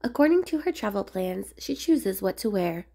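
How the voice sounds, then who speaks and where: A woman reading a rhyming children's picture book aloud in a measured, expressive sing-song way. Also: mid-range voice, creaky. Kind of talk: storybook read-aloud narration of rhyming verse.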